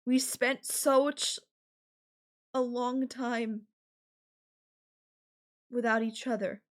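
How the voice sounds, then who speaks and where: A woman playing an obsessive, possessive, murderous girlfriend in an ASMR audio roleplay, speaking deliberately with drawn-out phrases and pauses. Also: fairly high pitch, fairly even intonation. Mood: pained devotion.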